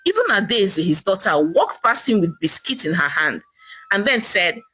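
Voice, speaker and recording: A woman with a Nigerian accent telling a folktale as an expressive narrator. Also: medium pitch, normal speed, breathy edge, poor audio. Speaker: storyteller. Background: soft music.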